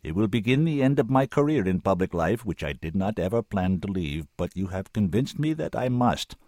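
Old senator for a story reading, performed by a man: very low, swinging voice, deliberate, weary authority with grave kindness. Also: big pitch swings, darkish tone, no room sound, clean studio sound. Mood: grave, resolute. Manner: slow, deliberate, thundering when roused.

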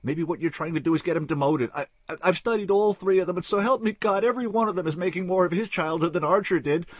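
A man's voice: sits high, fast, no room sound, clean studio sound, pitched above the performer's own voice. A man voicing a desperate, distraught man who pleads in an agitated, stammering rush. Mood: desperate pleading.

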